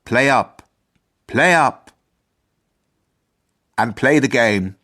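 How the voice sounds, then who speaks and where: A man's voice: medium pitch, lively intonation, drawn-out words, sharp tone, exaggerated voice. A man acting an earnest, fussy British headmaster in a radio drama, formal and self-important as he narrates his journal. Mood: stirring, declamatory. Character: earnest, idealistic, fussy male school headmaster. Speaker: actor.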